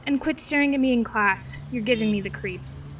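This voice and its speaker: Hostile schoolgirl, teens, played by a woman for a comic dub; biting and scornful, highish pitch, recorded from a distance. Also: moderate pace, close to an everyday voice. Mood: angry disgust. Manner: cutting, scornful. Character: hostile, foul-mouthed teenage schoolgirl. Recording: distant mic, faint background noise.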